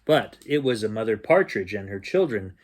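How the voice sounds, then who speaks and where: A man reading a children's picture book aloud as an expressive bedtime storyteller. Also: fairly low pitch, normal speed. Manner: expressive, acts out sounds and dialogue. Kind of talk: animated bedtime story reading with playful asides.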